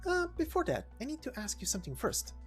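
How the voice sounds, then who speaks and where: A woman's voice: on the high side, huge pitch swings, quick pace, faint music behind, voice pushed up. A woman voicing a chatty, cheeky young woman helper with slangy, breezy talk in a game story reading. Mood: explaining, casual. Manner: slangy, breezy, stumbling over big words.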